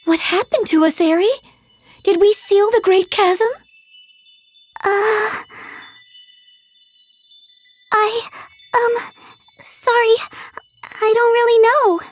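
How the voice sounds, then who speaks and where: A woman's voice: very high voice, steady tone, slow, long pauses. A woman playing a sweet, anxious young girl in a fantasy video game, delicate and earnest in manner.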